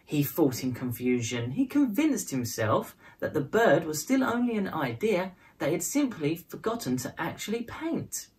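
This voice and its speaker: A woman narrates a children's storybook in a fairly low voice. Her intonation is very lively, rising and falling widely as she shapes prose and verse expressively for young listeners. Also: moderate pace, few pauses. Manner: expressive, dramatized phrasing for children. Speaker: storyteller reading a children's picture book.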